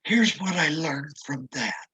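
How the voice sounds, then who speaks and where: A retired older man talks in a raspy, strained voice that often drops to a whisper. He speaks slowly and reflectively, with a self-deprecating manner, and the tone sits bright and tight despite the damage. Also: a little high, lively intonation, poor audio. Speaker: interview guest. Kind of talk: casual conversation.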